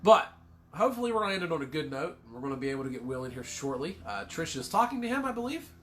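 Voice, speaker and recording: Man, fairly high voice, stuffy with a cold, rambling livestream host, swears casually. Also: very animated, racing. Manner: rambling, swears casually, self-deprecating jokes. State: congested with a cold.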